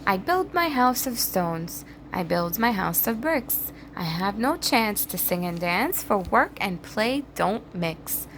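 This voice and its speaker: Woman as a sensible, unhurried little storybook pig. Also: medium pitch, sing-song, fairly bright tone, a little hiss or hum, exaggerated voice, rough recording. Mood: firm. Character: busy, sensible young male pig, earnest builder.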